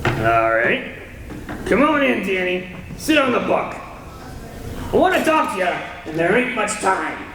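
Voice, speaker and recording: A man's voice: sits high, big pitch swings, unhurried, long pauses, a bit bright, a little hiss or hum, heavily acted. An actor playing a condemned male killer in a radio drama, talking tough gangster slang, tense and scheming. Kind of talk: 1930s radio drama acting. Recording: echoey old radio recording from far off.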